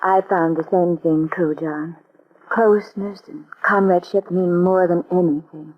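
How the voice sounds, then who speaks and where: An actress playing a coy, soft-spoken, glamorous woman of mystery in a 1940s radio drama. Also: fairly low pitch, fairly even intonation, unhurried. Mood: charming. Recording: dull old radio broadcast.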